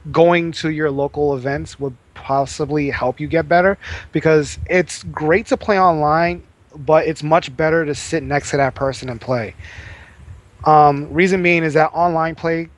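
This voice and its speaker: Man explaining steadily, slightly high voice. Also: measured pace. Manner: steady, explanatory, frequent ums. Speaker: podcast guest. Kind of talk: casual podcast advice.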